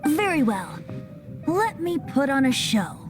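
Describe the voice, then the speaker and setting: A woman's voice: high-pitched, very animated, measured pace, over music. A woman voicing a breezy, mischievous young male bard in a video game, playful and teasing. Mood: sly. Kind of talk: animated game voice acting.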